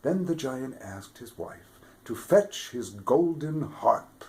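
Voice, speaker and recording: A man reading a children's fairy tale aloud with expressive delivery, dropping to whispers for suspense. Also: mid-range voice, slow. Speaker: storybook reader, theatre regular. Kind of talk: children's story read-aloud.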